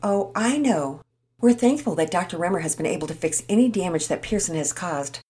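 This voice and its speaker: A gentle, humble mother who speaks kindly to the children, as a woman reading puts her on. Her voice moves lightly with lively rises. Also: on the low side, brisk, natural voice. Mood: warm. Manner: gentle, homely.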